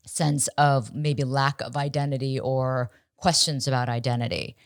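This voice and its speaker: A woman's voice: rumbling low, steady tone, normal speed, smooth, dry recording, studio quality. A middle-aged woman from Ohio hosting a casual interview, often ending points with tag questions. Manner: conversational, tag questions, restarts. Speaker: host.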